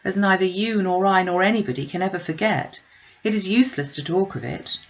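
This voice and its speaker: A woman voicing a frank, sceptical young gentlewoman in a classic novel, speaking firmly and with emphasis. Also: medium pitch, fairly quick, lo-fi sound, close to an everyday voice. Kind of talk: classic novel read aloud.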